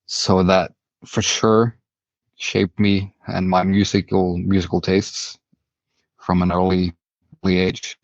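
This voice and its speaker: Deep gravelly man. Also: level tone, slow pace, long pauses, no echo, dull audio. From Norway. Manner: rambling, repeats words while thinking. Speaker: band member, guest. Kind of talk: casual interview answers.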